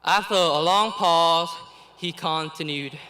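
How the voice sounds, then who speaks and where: A man's voice: on the high side, bright, forceful tone. Earnest young man. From Vietnam. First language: Vietnamese. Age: late teens. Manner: earnest and deliberate, with small laughs between phrases. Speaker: graduating student giving the commencement speech. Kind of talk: reading a prepared commencement speech.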